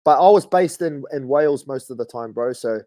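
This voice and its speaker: A man in his thirties with a New Zealand accent talks in a loose, laid-back way, often tossing in "bro". His voice is warm and mellow. The sound is dry and close. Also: medium pitch, a little fast, no echo. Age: 30s. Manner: laid-back, relaxed storytelling with frequent "bro" and fillers. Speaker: guest, rugby league player. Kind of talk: casual podcast chat.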